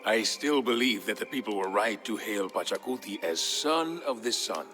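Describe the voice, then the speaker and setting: An actor playing a male narrator who recounts an old legend in a measured, scripted storybook manner for a video game. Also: fairly low pitch, animated, bright, forceful tone, faint music behind. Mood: solemn storytelling.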